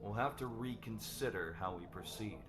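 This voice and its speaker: A man's voice: fairly low pitch, moderate pace, crowd noise, natural voice. A man playing a formal, businesslike company board chairman in an audio drama.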